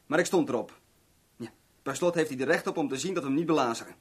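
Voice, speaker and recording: Radio play casting: chummy kidnapper, cocky and scheming, lighter-pitched husky voice, unhurried, boastful storyteller. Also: male voice. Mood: self-satisfied. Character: small-time crook Tony, cocky, chummy, scheming kidnapper. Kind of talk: 1970s Dutch radio drama acting.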